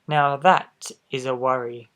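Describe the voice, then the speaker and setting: A man reading a children's storybook aloud expressively, adding gentle asides for young listeners. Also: medium pitch, steady tone, slow pace. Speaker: storybook reader. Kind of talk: children's picture book read-aloud.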